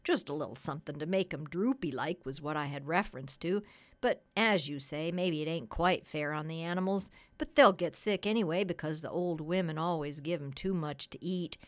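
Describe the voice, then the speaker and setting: A woman's voice: sits low, normal speed, close to an everyday voice. A woman voicing a chatty, uneducated male tradesman in a children's story, folksy and persuasive. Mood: coaxing. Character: chatty, scheming, uneducated working-class tradesman.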